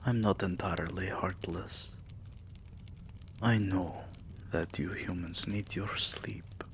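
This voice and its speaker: A man playing a possessive, tender yet menacing vampire king in an intimate ASMR roleplay, murmuring softly and dipping into whispers. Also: low voice, fairly even intonation, a little hiss or hum, full character voice. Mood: soothing, possessive. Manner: soft, slow, breathy murmurs dipping into whispers.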